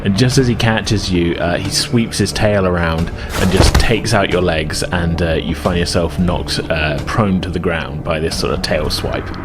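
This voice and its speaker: A man's voice: low-pitched, fairly even intonation, unhurried, few pauses, music in the background. A man acting as game master, narrating a tabletop game in an improvised, hesitant, conversational way.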